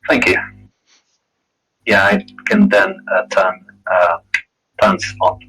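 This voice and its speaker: A man setting up and explaining a board game, halting with many fillers. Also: low-pitched, very animated, creaky, sharp tone, breathy edge, poor audio. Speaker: game referee.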